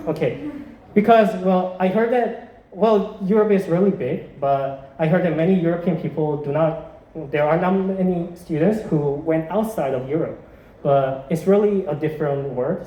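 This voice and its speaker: A young man from South Korea leads a classroom quiz in a slightly high voice, friendly and full of fillers and restarts. The sound is roomy and a little muffled, with faint noise behind it. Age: 20s. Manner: chatty and encouraging, with fillers and restarts. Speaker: exchange student presenting a quiz. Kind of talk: classroom presentation.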